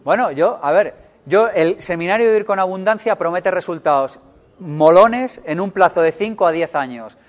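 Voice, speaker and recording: Spanish man, slightly higher voice, lively seminar speaker, teasing the room. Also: normal speed. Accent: Spanish from Spain. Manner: playful, joking, chatty. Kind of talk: live seminar talk to an audience.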